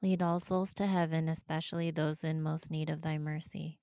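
A woman giving rosary responses in a measured, formulaic recitation. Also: low-pitched, level tone, warm tone, no echo, clean studio sound.

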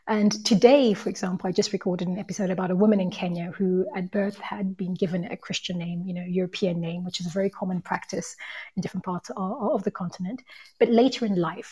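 Thoughtful woman with a slightly husky voice. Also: medium pitch, mellow, poor audio. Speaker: podcast host on a panel. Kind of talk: conversational panel talk.

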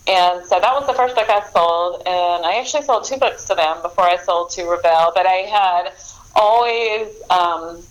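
A woman's voice: lowish voice, normal speed, rough recording. A woman, a novelist answering interview questions in loose, friendly talk full of fillers and laughter. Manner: chatty, laughs often, many fillers and restarts. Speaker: author guest.

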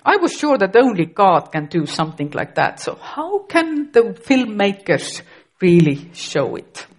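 A woman's voice: medium pitch, very animated, a little slow, husky. A middle-aged woman from Estonia, a native Estonian speaker with an Estonian accent, preaching a sermon carefully and with occasional restarts.

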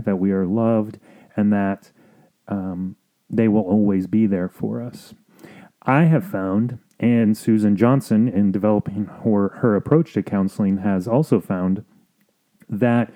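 A man with an American accent hosting an explanatory podcast as a counselor, talking in a measured, earnest way with pauses. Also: on the low side, dark tone. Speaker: podcast host and family counselor.

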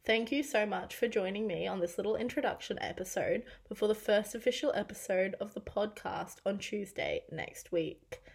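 A young Australian woman in her twenties talks through her own podcast. Her voice is croaky and raspy because she has nearly lost it, but she stays chatty and pokes fun at herself. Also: medium pitch, normal speed, hardly any pauses. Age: 20s. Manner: chatty and self-deprecating. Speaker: podcast host. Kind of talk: casual solo podcast monologue.

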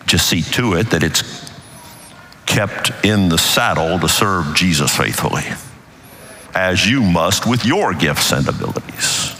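Loud booming preacher in a big hall. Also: male voice, animated, measured pace, slight background noise. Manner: loud, emphatic preaching with shifting intensity. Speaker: pastor. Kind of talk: sermon. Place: church sanctuary. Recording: long reverb of a large hall.

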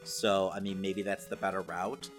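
A man's voice: sits low, level tone, normal speed, soft background music. A man co-hosting a casual pop culture podcast, talking conversationally with lots of "like" and "you know". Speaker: podcast co-host.

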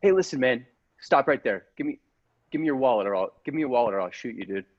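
A man's voice: mid-range voice, animated, fast, muffled recording, close to an everyday voice. A man improvising a stammering, nervous street mugger who keeps repeating his demands in a comedy skit. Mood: insistent.

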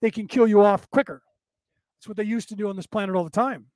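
A man hosting a long spiritual talk show, his voice hoarse and raspy, rambling and emphatic as he talks straight to his listeners. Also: high-pitched, quick pace, darkish tone, no room sound. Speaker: show host. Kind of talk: late-night spiritual talk show monologue.

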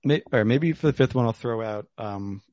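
A man's voice: medium pitch, normal speed, a little mellow, no room sound, studio quality. A man hosting a podcast, chatting casually over whiskey in a rambling way with plenty of fillers. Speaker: podcast host. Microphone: remote call.

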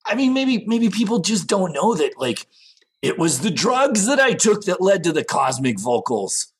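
A man playing an insecure, aging rock singer in an improvised scene, rambling and stammering in a husky voice. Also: high-pitched, big pitch swings, normal speed, heavily acted. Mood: panicked, ranting. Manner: rambling, stammering, repetitive, sweary. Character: needy, insecure aging male rock singer, past his prime. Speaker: actor in an improvised theater scene. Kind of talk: improvised monologue and dialogue acting.

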